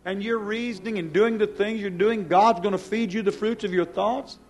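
A man preaching a fiery, stern sermon, accusing his congregation with emphatic repeated questions and swinging between hushed and loud delivery. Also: high voice, fairly even intonation, normal speed, soft background music. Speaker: preacher, church pastor. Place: church service before a congregation.

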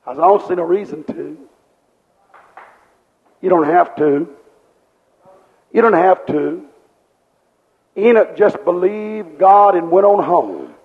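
Man, preacher, very high voice, rhetorical questions, long reverb of a hall. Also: phone-quality sound. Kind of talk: sermon.

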